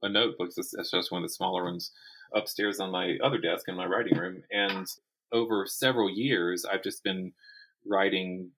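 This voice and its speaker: A man's voice: deep voice, steady tone, moderate pace, fairly bright tone, low-quality recording. A man speaking as a guest author in a casual interview, often restarting and repeating words as he thinks aloud.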